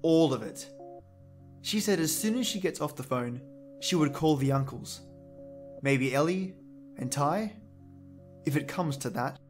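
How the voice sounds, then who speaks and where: A man's voice: a little high, expressive, measured pace, long pauses. A man playing an agitated, bitter adult son in an audio drama, speaking emphatically. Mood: tense. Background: background music.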